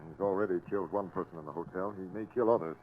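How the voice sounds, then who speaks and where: An actor playing a resolute police captain giving brisk, authoritative orders in a 1940s radio crime drama. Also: male voice, low-pitched, natural voice. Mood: commanding. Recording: muffled, noisy 1940s radio recording.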